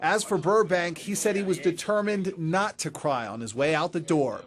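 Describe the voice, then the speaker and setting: A man's voice: sits high, moderate pace. A man narrating a scripted news feature as a reporter.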